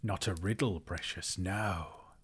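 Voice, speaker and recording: Storybook creature: wretched, obsessive, hissing, rough-edged voice, muttering and repetitive. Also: male voice, sits low, takes it slowly, a bit bright, full character voice. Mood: suspicious, menacing. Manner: muttering to itself, wailing, repeating words. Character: wretched, scheming, obsessive cave-dwelling creature, male. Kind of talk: storybook character voice in narration.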